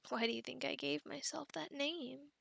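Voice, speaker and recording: A woman playing a possessive, lovestruck female werewolf in a whispered ASMR roleplay, slow and coaxing, soothing yet menacing. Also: high-pitched, a bit bright, dry recording, heavily acted. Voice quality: breathy whisper with creaky edges.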